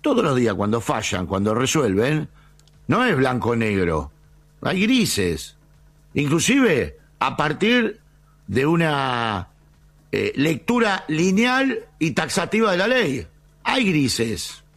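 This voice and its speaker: An Argentine man on a sports panel who lays out legal arguments step by step. His pitch swings widely as he talks, rising and falling through each point. He cuts in on the other speaker to press his case. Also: huge pitch swings, fairly bright tone. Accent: Argentine Spanish. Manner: argumentative, measured, interrupts to make legal points. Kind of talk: heated football debate.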